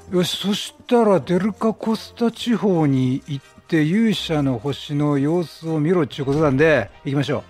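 A middle-aged man giving chatty, excitable Let's Play commentary, reacting and repeating his phrases. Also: fairly high pitch, unhurried. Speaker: game streamer. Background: game music.

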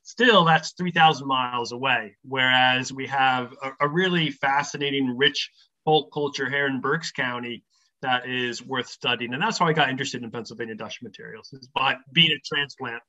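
A man's voice: mid-range voice, moderate pace, sharp tone. A middle-aged man, a professor, explaining things at length in an interview, thoughtful and scholarly, with many ums and restarts. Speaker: professor of English, podcast guest. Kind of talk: relaxed explanatory interview talk. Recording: slightly muffled lo-fi sound.

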